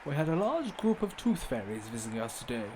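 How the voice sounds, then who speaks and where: Guy doing a highish earnest circus character for a kids cartoon, really lively delivery. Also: a little fast, noisy background. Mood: earnest, explaining. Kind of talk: scripted animated story dialogue.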